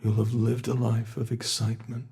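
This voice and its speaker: A British man voicing an ancient, bored male monster in a horror ASMR roleplay, speaking slowly and intimately with soft whispers. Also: medium pitch, level tone, gravelly. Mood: menacing. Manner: slow, intimate, menacing delivery with soft whispers.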